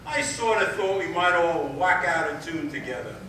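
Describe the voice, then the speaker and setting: A man acting a breezy male crooner hosting a 1940s radio show, folksy and jokey in his patter. His voice sits fairly high and comes from a distance in a hugely echoing hall. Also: expressive, normal speed, pushed and bright, slight background noise. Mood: breezy, genial. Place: amateur stage show in a large echoey room. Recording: very echoey hall from far off, music.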